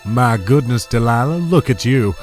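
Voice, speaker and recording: An actor playing a condescending, menacing old oil baron in an audio drama, sneering and patronizing. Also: male voice, medium pitch, expressive, normal speed, a little mellow, faint music behind, natural voice.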